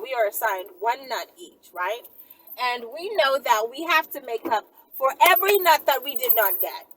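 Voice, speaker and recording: A woman with a high, very lively voice talks casually and emphatically, with plenty of sass. She sounds a little away from the mic, with clear noise behind her. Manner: emphatic and sassy, with frequent check-in tags. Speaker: channel host answering viewer questions. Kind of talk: casual, animated monologue to viewers. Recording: noisy, distant-sounding mic.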